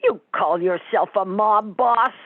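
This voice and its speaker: Sarcastic mob wife for radio comedy, woman acting: slow, needling delivery, narrow phone-like sound. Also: medium pitch, no room sound. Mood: demanding. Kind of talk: amateur old-time radio comedy play. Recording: thin phone-like sound, dry.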